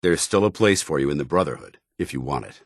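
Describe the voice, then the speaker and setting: An American man voicing a stern, earnest, duty-bound military commander in a video game, speaking in formal, measured phrases. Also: a bass voice, expressive, dry recording, studio quality, heavily acted. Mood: commanding. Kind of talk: video game companion dialogue.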